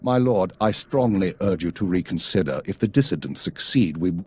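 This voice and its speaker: An actor playing a stern, controlled spymaster in a video game, formal and authoritative, with a husky voice. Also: male voice, low voice, animated, normal speed, a little mellow. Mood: grave, restrained. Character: stern, controlled male intelligence chief.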